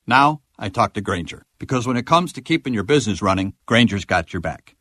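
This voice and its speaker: A man's voice: lowish voice, expressive, fairly quick, sharp tone, dry recording, studio quality. A man reading a scripted radio commercial in a confident, plain-spoken way. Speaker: commercial voice-over.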